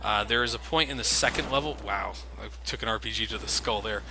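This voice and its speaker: A man's voice: lowish voice, fast, creaky. A man giving casual let's play commentary, sarcastic, self-deprecating and freely swearing. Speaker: video game commentator. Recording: game combat noise behind voice. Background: video game gunfire and vehicles.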